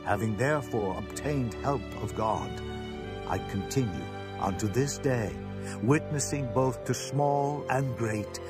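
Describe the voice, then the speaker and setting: A man's voice: mid-range voice, expressive, a little slow, lightly acted. A man playing an earnest, impassioned prisoner defending himself in a solemn, declamatory scripture drama. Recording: prominent music bed under voice.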